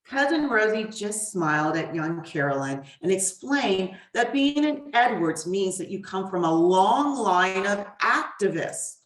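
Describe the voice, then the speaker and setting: A woman reading a children's storybook as guest author, in a deliberate, expressive way with pauses. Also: medium pitch. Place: echoey room, away from the mic. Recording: roomy echo, distant mic.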